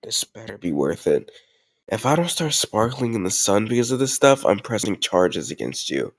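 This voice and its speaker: A man's voice: fairly low pitch, sing-song, normal speed, husky, exaggerated voice. A man playing a tough gang leader who is a playful, doting boyfriend in an intimate roleplay audio, teasing and mock-grumbling affectionately. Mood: playful banter.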